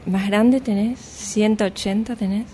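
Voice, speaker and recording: A woman's voice: mid-range voice, fairly even intonation, measured pace, natural voice. A woman with an Argentine accent acting a flighty, evasive, vain friend in an amateur radio play, with a hesitant, self-absorbed manner. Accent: Argentine Rioplatense. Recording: clear background ambience noise.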